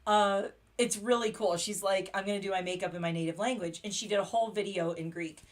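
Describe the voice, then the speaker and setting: A woman hosting a casual makeup livestream, chatty and excitable, laughing often. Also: mid-range voice. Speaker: beauty livestream host.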